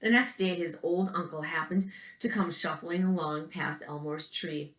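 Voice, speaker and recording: A woman reads a children's picture book aloud expressively, pausing to chat about the pictures as if to young children. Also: low voice, fairly bright tone. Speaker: read-aloud storyteller. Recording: roomy, echoing indoor recording.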